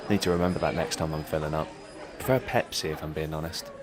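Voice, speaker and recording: Very low-voiced, dim young man, played by an actor. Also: fairly even intonation, fast, crowd noise, noisy background. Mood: deadpan, credulous. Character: dim, credulous, laid-back young man. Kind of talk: conversational audio drama, satirical comedy.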